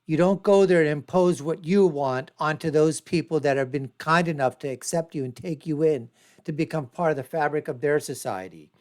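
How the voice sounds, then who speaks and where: Woman host, born in America, emphatic panel talk, lowish voice. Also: moderate pace, a little mellow. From United States. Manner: emphatic, mimics others in quotes. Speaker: show host.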